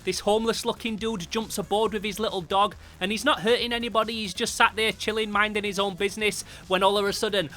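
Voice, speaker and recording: A man's voice: high-pitched, steady tone, fast, few pauses, bright, forceful tone. A British man narrating a comic review in an informal, slangy, sweary and emphatic way. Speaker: host of a comic book review channel. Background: music.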